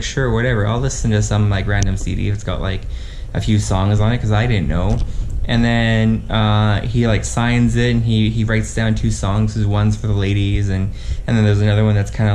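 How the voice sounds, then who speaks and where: A man with a Canadian accent telling a casual anecdote for a travel vlog in a halting, rambling way, often quoting dialogue. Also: lowish voice, a little mellow, a little hiss or hum. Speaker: travel vlogger.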